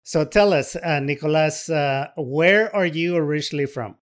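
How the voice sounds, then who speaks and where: A man's voice: fairly high pitch, moderate pace. A man hosting a podcast, reading an upbeat scripted introduction and then asking questions with some hesitation. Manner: earnest, promotional delivery with fillers in questions. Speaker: podcast host.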